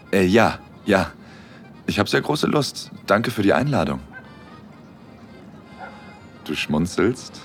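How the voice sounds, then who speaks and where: An actor playing a dreamy, bashful young man, narrating an intimate audio drama in the first person in a soft-spoken way. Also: low-pitched. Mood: bashful, smitten. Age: young adult.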